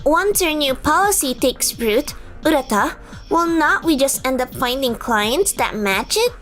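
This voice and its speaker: A woman's voice: high voice, expressive, unhurried, fairly bright tone. A woman voicing young women in a romantic comedy manga dub, over background music. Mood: casual, questioning. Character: young women in a romcom: breezy cheating girlfriend, indignant loyal twin. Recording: music under the voice.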